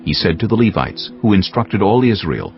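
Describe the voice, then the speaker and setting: A man narrating dramatized scripture in a solemn, deliberate, scripted style. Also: lowish voice, animated. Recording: slightly dull audio with music bed.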